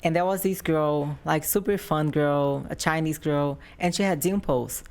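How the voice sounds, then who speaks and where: Woman, 20s, Brazilian Portuguese accent, native Portuguese speaker, low voice, giggly, friendly, searching for words. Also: a little slow, darkish tone. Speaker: guest athlete. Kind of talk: casual interview.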